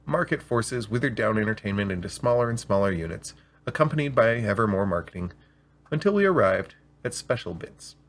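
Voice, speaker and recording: A man with a slightly rough voice, hosting and reading scripted stories aloud in an expressive, deliberate way. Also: fairly low pitch. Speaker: storyteller and show host. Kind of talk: scripted story reading with spoken intro.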